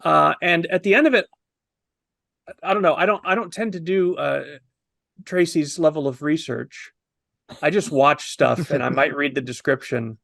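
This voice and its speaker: A man's voice: a little high, moderate pace, creaky, fairly bright tone, no echo, clean studio sound. A man in his fifties casually discussing a film with admiring enthusiasm, as a review panelist on a video call.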